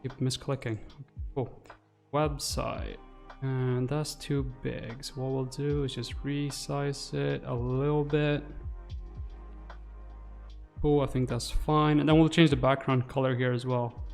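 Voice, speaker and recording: A man's voice: mid-range voice, flat delivery, measured pace, vocal fry, darkish tone, faint music behind. A man in his twenties muttering his thoughts aloud as he casually hosts a livestream while working, close on a desk microphone. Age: 20s. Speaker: livestream host. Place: small room indoors. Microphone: desk microphone close to the mouth.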